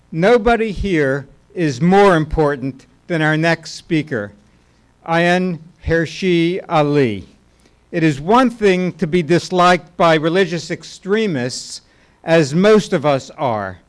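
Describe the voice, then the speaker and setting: Slow, formal man introducing a speaker. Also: on the high side, smooth.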